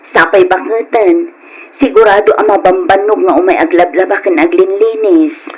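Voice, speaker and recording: A woman's voice: mid-range voice, moderate pace, slightly rough, close to an everyday voice, low-quality recording. An actress playing a modest young wife and mother in a radio drama, pleading and hesitant, with a Filipino accent. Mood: pleading, coaxing. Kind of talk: Ilocano radio drama acting.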